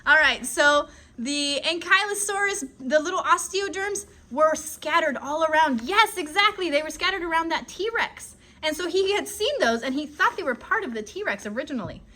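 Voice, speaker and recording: High, bright-voiced woman teaching kids. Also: normal speed. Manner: animated storyteller, asks listeners questions, stretches key words. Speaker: children's show host and educator. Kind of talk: interactive kids' dinosaur lesson on a live stream.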